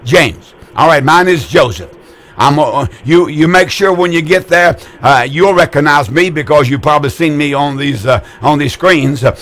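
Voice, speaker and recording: An older man in his eighties preaches to callers on the air. His voice sits a little high for a man, and he talks in a folksy, repetitive way, stumbling over words and restarting often. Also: fairly bright tone. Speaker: radio preacher taking calls. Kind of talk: call-in religious broadcast.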